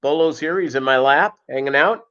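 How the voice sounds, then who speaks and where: A man hosting a casual live chat, rambling along with joking, deadpan asides. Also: on the high side, a little fast. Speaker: host of a live fishkeeping chat show. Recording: dull lo-fi livestream audio.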